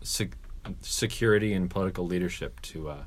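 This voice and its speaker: Laid-back young podcast co-host, low voice, easygoing. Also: male voice, brisk. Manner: laid-back, reads out search results. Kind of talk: casual chat.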